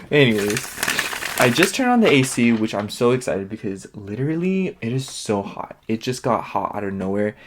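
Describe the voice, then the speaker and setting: A man's voice: medium pitch, big pitch swings, a little slow, fairly bright tone, a little hiss or hum. A man giving a casual tarot reading, rambling with lots of fillers, his voice sniffly and nasal. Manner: chatty, rambling, heavy on fillers. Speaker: tarot reader.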